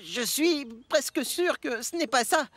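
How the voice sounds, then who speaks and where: A woman's voice: high-pitched, expressive, unhurried. A woman voicing a polite, friendly young male steam locomotive in a children's cartoon. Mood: doubtful.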